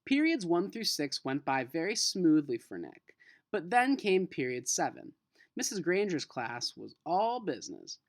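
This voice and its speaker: A male teacher with an animated, swinging pitch and a close, dry sound. He moves between storybook read-aloud and casual lesson talk, expressive and informal as he addresses his students. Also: unhurried, no room sound. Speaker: teacher reading a children's novel aloud.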